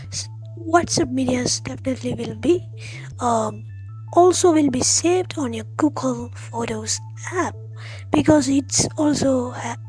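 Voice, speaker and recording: High-pitched woman doing a slow, friendly phone how-to, kinda halting, faint music behind. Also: a little mellow, rough recording. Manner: halting, friendly, addresses viewers directly. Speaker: tech tutorial host. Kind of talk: spoken tutorial walkthrough.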